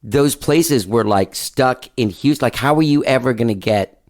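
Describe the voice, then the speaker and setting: A man's voice: medium pitch, normal speed, darkish tone. A man hosting a casual interview podcast, easygoing, with short encouraging responses and loose questions. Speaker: podcast host.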